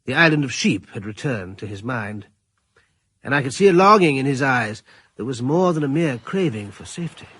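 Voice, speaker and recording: An actor playing a middle-aged retired general who also narrates the story, in a radio drama. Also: male voice, mid-range voice, animated, pushed and bright, breathy edge, no echo. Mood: assured. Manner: assured, measured.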